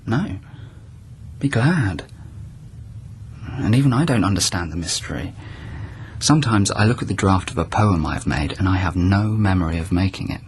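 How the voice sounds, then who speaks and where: A man acting in a radio drama as a brooding, tormented court poet, with a husky voice and a measured, lyrical delivery. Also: sits low, animated, a little hiss or hum. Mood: wistful, puzzled.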